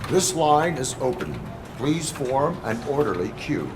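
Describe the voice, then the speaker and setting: An actor playing a stoic cyborg police officer in a video game, speaking in a clipped, formal, robotic way. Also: male voice, medium pitch, sing-song, measured pace, background noise. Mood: stoic, dutiful.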